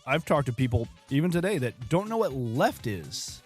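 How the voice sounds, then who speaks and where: A man co-hosting a podcast, chatting casually over beers with a hoarse voice, rambling and laughing often. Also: medium pitch, sing-song, normal speed, darkish tone, faint music behind. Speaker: podcast co-host.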